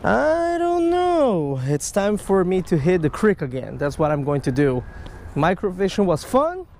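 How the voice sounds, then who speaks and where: A man giving casual, excitable running commentary while fishing outdoors at a creek, talking to the fish and his viewers, recorded on a chest-mounted action camera. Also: sits high, unhurried, gravelly, slight background noise. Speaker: fishing vlogger. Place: outdoors by a creek under a stone bridge.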